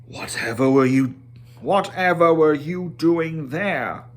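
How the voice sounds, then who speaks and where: A man voicing a proud, grumbling dwarf leader in a storybook reading, gruff and demanding, drawing out his words. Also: fairly high pitch, expressive, slow pace. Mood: puzzled.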